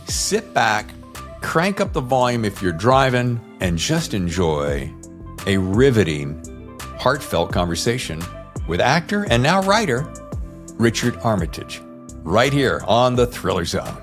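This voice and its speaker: An American man with a deep, bassy voice, hosting a podcast in an effusive, emphatic and jokey way. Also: sing-song, measured pace, soft background music. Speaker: podcast host. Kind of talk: enthusiastic podcast intro and casual interview chat.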